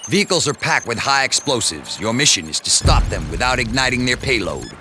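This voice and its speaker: A stern military commander. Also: male voice, medium pitch, sing-song, a little fast, noisy background. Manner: clipped, commanding briefing delivery. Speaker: mission commander giving briefings. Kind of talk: game cutscene voice acting. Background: loud music.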